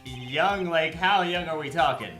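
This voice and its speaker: A woman's voice: low voice, measured pace, fairly bright tone, faint music behind. A woman voicing a sarcastic, exasperated young male lawyer in a game fandub, with a dry manner. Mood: sarcastic, indignant. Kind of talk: animated game fandub acting.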